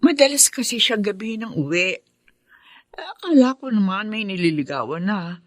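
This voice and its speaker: A woman's voice: mid-range voice, big pitch swings, normal speed, no echo, studio quality, close to an everyday voice. An actress playing a worried, loving middle-aged mother from a poor family in a radio drama. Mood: worried, regretful.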